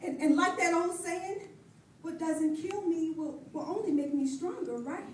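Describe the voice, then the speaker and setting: Actress as battered pastor's wife: high voice, wistful, loyal, pleading her husband's case; live stage play, distant mic. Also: a little slow. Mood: earnest, insistent. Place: stage in a roomy hall. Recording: echoey hall from far off, light noise.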